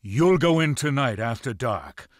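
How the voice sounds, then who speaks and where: An actor playing a shrewd, commanding pirate lord in a video game, speaking in a measured, menacing and authoritative way. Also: male voice, mid-range voice, expressive, no echo, studio quality, natural voice. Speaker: video game character giving mission briefings. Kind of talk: dramatic game cutscene acting.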